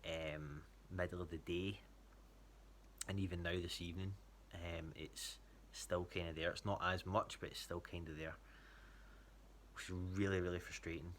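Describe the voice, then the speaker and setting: A man's voice: low-pitched, level tone, normal speed, a bit bright, slight background noise. A Scottish man giving a casual spoken check-in, talking in a hesitant, rambling way. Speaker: athlete giving a training check-in to a coach.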